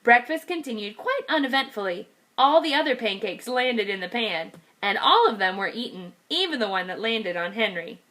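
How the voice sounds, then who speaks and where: Teacher, female, bright higher voice, very animated storybook read-aloud for little kids. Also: normal speed, hardly any pauses. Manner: lively, expressive reading to young children. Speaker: kindergarten teacher.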